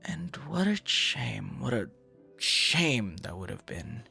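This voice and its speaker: A man playing a friendly, flustered young romantic in an intimate boyfriend audio roleplay, talking in a hesitant, rambling, conversational way with soft breathy asides. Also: medium pitch, big pitch swings, takes it slowly, soft background music, full character voice. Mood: teasing.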